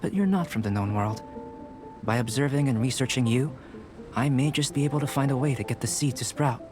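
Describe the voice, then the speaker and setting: A man playing a calm, detached young scholar and alchemist in a video game, speaking in a measured, formal, analytical way. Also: medium pitch, over music, natural voice. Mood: earnest.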